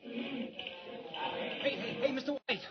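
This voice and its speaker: An actor playing a brash, slangy male newspaper photographer in a 1940s radio drama, fast and excitable. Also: very high voice, dull audio, music in the background, exaggerated voice.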